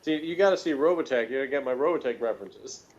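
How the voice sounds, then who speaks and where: A man's voice: mid-range voice, animated, quick pace, darkish tone, muffled recording. A man chatting casually as a reviewer, rambling and jokey, with pop-culture asides. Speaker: podcast reviewer.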